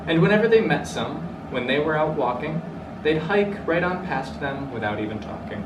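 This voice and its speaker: Man, young adult, storybook read-aloud, slightly high voice, echoey room, rhyming verse. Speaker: children's story reader. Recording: roomy, distant mic, clearly noisy.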